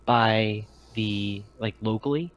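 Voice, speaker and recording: A man's voice: on the low side, slow. A man running a tabletop game, explaining things casually with easygoing, hesitant phrasing over some background noise. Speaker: game master. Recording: faint background room noise.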